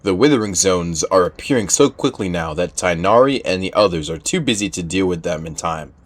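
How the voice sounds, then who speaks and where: A man's voice: sits low, expressive, fairly quick. A man reading game dialogue as a caring, worried young patrol woman, earnest and polite. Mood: earnest, concerned.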